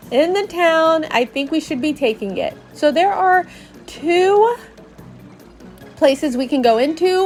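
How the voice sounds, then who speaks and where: A woman with a notably high voice narrates a video game like a storybook. She takes her time, adding expressive, playful asides while soft music plays behind her. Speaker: podcast host and narrator.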